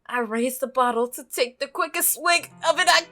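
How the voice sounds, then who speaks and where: A woman's voice: sits high, moderate pace, bright, forceful tone. A woman reading the scripted first-person narration of an uneasy visual novel protagonist.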